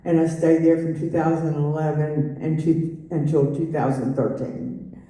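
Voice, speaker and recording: A middle-aged woman giving a personal testimony, halting with many fillers and restarts. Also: low voice, fairly even intonation, dark tone. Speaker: testimony speaker. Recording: echoey room, voice from far off.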